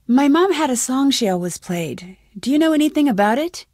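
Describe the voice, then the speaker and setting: An inquisitive young female sleuth in a video game. Her voice sits fairly high and she speaks earnestly and clearly, with determination. The recording is dry and close, like studio game dialogue. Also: animated, a little fast, a little mellow, no echo. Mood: probing, insistent. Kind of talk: scripted game dialogue, isolated lines.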